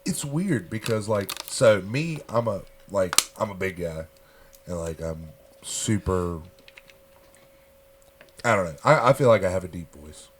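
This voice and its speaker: A man's voice: low voice, lively intonation, measured pace, long pauses, background noise. A man chatting casually as podcast host, laid-back and swearing freely. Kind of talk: casual chat.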